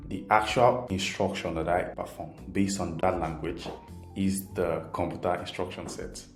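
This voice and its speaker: A man's voice: deep voice, fairly even intonation, moderate pace. A man with a Nigerian accent, a native Yoruba speaker, gives an informal, patient programming tutorial, keeping things simple and often checking in with "right?". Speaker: programming instructor. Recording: roomy echo, faint music underneath.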